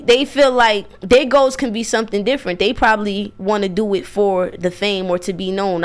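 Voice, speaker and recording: A young American woman speaking casually and self-assuredly in a radio interview, stressing her points and repeating phrases. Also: medium pitch. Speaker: radio DJ and show host.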